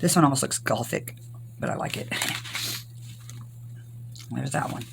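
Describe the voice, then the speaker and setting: Hoarse older Southern woman crafting. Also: a bass voice, unhurried, very noisy. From Arkansas, US South. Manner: rambling, homey, many ums. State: hoarse, recovering from illness. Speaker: craft channel host. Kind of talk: casual crafting tutorial.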